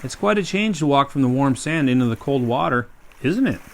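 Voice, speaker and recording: A park ranger reading a sensory story aloud to young children, gently asking them questions as he goes. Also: mid-range voice, expressive, a little fast, a little hiss or hum.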